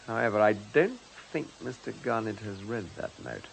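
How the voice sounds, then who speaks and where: Posh-witted british detective inspector, dry and bossy, really animated pitch, radio mystery, bit of background noise. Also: male voice, normal speed, creaky, low-quality recording. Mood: measured, authoritative. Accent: British English. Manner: dryly ironic, commanding, given to literary quips. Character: wry, erudite police chief inspector leading a murder investigation. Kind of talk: radio detective drama acting.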